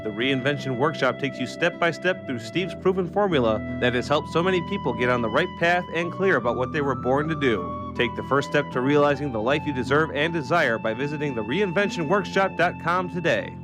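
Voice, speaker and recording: A man's voice: a little high, lively intonation, fairly quick, few pauses. A man reading a scripted motivational radio advertisement as an announcer. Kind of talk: scripted motivational promo read. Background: music bed.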